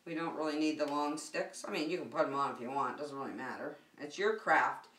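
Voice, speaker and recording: A woman's voice: deep voice, a little fast, fairly bright tone. A middle-aged woman hosting a children's circle time and craft lesson, speaking in a sing-song, encouraging way with simple phrases. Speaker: preschool circle time teacher and host. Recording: echoey room, distant microphone.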